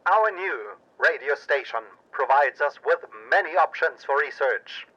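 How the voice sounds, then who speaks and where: Paranoid patriotic radio anchor, male actor, deliberate and sharp-edged, portentous storytelling, dull broadcast sound. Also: a little high, animated, slightly rough, voice pushed down, exaggerated voice. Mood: solemn, suspicious. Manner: dramatic, portentous delivery. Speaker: news anchor, narrator. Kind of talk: pulp radio drama news report.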